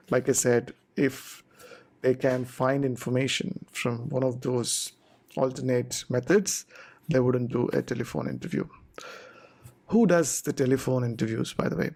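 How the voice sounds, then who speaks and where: A man giving an informal explainer talk to viewers, deliberate and step-by-step in short phrases. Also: medium pitch, steady tone, vocal fry, a little mellow. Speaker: presenter explaining visa procedures.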